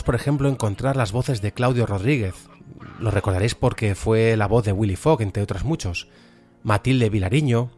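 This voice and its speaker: A man's voice: fairly low pitch, darkish tone. A man with an accent from Spain presents an enthusiastic, semi-scripted podcast review of radio drama. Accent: Spanish, from Spain. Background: background music.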